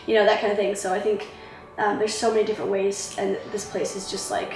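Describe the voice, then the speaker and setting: A woman's voice: mid-range voice, level tone, quick pace, darkish tone. A young woman student reflecting casually in an interview, speaking informally with plenty of "like". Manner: informal, with frequent "like". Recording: roomy, distant mic, faint music.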